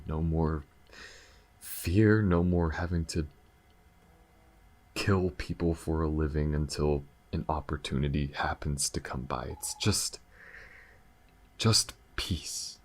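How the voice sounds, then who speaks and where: A man playing a gentle, battle-weary alien lover in an intimate ASMR roleplay, speaking softly and haltingly with a husky, breathy voice. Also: rumbling low, fairly even intonation, exaggerated voice. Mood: weary, wistful. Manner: soft, halting, hesitant, sighing delivery.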